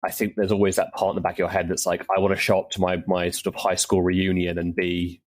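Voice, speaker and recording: A man's voice: low-pitched, monotone, fast talker, no room sound. A man speaking as an interview guest, reflective and casual. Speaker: interview guest, audio drama creator.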